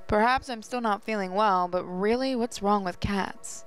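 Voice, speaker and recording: A woman gaming host reading a visual novel aloud as expressive first-person story narration. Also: medium pitch, moderate pace, smooth. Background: music.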